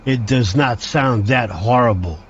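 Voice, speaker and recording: Man, halting game commentary. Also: mid-range voice, dull audio, a little hiss or hum. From Hungary. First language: Hungarian. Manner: halting, with frequent restarts, talks through each control step. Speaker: train simulator player. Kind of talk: gameplay commentary.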